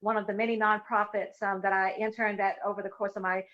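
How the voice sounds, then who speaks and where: A middle-aged woman moderating and hosting a webinar panel, speaking in a measured way with frequent fillers. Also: mid-range voice, flat delivery, a bit bright. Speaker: moderator and host. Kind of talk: webinar moderation and personal remarks. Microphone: video call. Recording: muffled lo-fi webinar audio.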